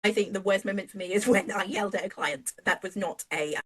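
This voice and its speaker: A middle-aged woman hosting an interview, affirming her guest and rephrasing her points with gentle hedges. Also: mid-range voice, quick pace. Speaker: podcast host.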